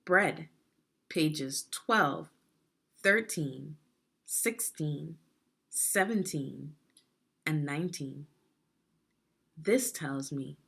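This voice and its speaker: A woman reading aloud a children's instructional book like a teacher, phrasing deliberately and putting prompting questions to young readers. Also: low voice, creaky, breathy edge. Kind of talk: read-aloud guided reading lesson.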